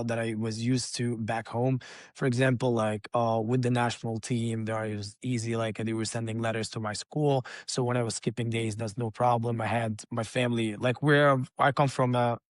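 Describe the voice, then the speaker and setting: A man's voice: mid-range voice, level tone, moderate pace, no room sound, clean studio sound. A young man from Egypt, a guest on an interview podcast, telling his story in a loose, chatty way with many restarts.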